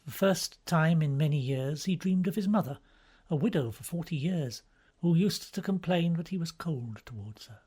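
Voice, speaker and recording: Man narrating a novel, slightly husky. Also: on the high side, lively intonation, normal speed, warm tone. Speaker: audiobook narrator.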